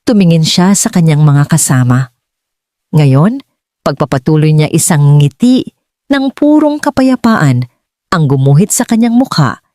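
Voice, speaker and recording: A Filipino-accented woman narrating a scripted dramatic story in a measured, earnest way, as for an audiobook. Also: sits low, animated, mellow, dry recording, clean studio sound.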